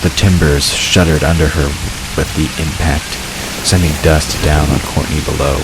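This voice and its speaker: A man's voice: extremely low pitch, steady tone, moderate pace. A man narrating a dramatic fantasy story from a script. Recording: clear hiss behind the narration.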